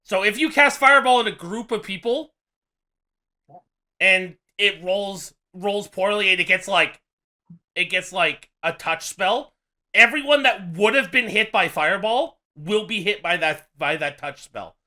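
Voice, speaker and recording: A man running a tabletop game, explaining his house rules haltingly, with many restarts. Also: high voice, expressive, bright, forceful tone. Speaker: game master.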